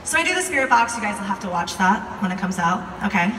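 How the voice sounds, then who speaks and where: A woman's voice: mid-range voice, very animated, fast talker, fairly bright tone. A young American woman tells a story casually to a live audience, chatty and informal, recorded from a distance in a large room. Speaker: video creator telling a story to a live audience. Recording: echoey hall, distant mic, some noise.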